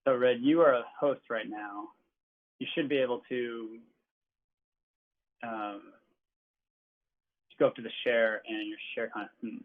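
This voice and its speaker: A man's voice: medium pitch, fairly even intonation, measured pace, pauses often. A man opening a committee meeting as its organizer, polite and helpful, with casual fillers. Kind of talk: formal meeting introduction. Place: remote conference call. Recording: tinny conference call line.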